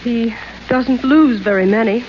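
An actress playing a plain-spoken, matter-of-fact maid, in a muffled 1930s radio broadcast. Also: female voice, fairly high pitch, measured pace, a little hiss or hum, close to an everyday voice. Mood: blunt. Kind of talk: 1930s radio play acting.

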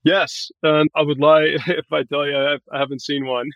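A man's voice: on the high side, level tone, normal speed, a bit bright, no room sound. Male interview guest from Barbados, casual but articulate explainer, close dry sound, slightly muffled. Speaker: podcast guest, tech growth manager.

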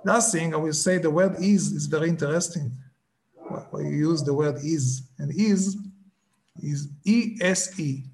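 Halting man. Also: fairly high pitch, darkish tone. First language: Hebrew. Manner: slow, halting, searching for words. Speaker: speaker. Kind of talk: reflective talk.

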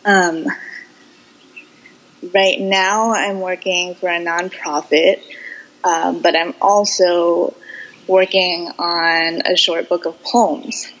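A young American woman speaking as a podcast guest, reflective and halting as she talks about herself in casual conversation. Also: fairly low pitch, steady tone, a bit bright, highs cut off. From United States, Boston and Houston.

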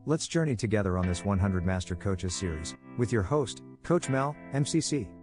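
A man's voice: on the low side, expressive, moderate pace, a little mellow. A man reading a scripted show introduction as an announcer. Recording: voice-over over guitar music. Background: guitar music.

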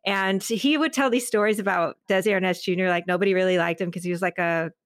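A middle-aged woman talking casually as an interview guest, telling stories in an animated, effusive way and acting out bits of dialogue. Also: fairly low pitch, quick pace, dry recording, studio quality. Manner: animated, effusive, re-enacts dialogue, laughs often. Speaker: podcast guest, author and creative.

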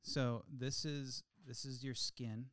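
Male podcast co-host, late thirties, mid-pitched, animated and insistent, close dry sound. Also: takes it slowly, no echo. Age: 30s. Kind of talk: casual chat.

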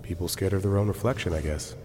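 An actor playing a humanlike male AI robot in a sci-fi audio drama, measured and philosophical with dry humor. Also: sits low, a little mellow, slight background noise, natural voice. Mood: mild, wry. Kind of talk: scripted audio drama acting and narration.

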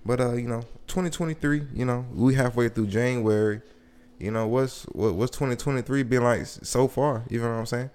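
A young man from the Southern US hosting a casual podcast, talking in laid-back slang with halting restarts. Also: medium pitch, a little mellow, clear, resonant tone. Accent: Southern US, Atlanta area. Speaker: podcast host. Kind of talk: casual chat.

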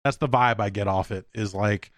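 A middle-aged man hosting a podcast, giving casual solo commentary on news in a rambling, halting way with repeated words. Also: lowish voice, dry recording, studio quality. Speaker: podcast host.

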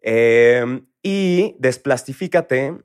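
A man in his thirties from Mexico with a Mexico City accent, a guest in a casual interview, talking in a relaxed, rambling way with many fillers. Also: medium pitch, very slow, clear, resonant tone. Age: 30s. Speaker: guest entrepreneur.

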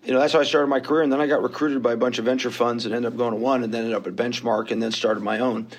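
A man's voice: mid-range voice, flat delivery, rapid-fire. A man in his fifties with a New York accent, speaking as a podcast guest. He tells his story candidly and pokes fun at himself along the way. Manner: candid, self-deprecating storytelling. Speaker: guest investor. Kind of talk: podcast interview.

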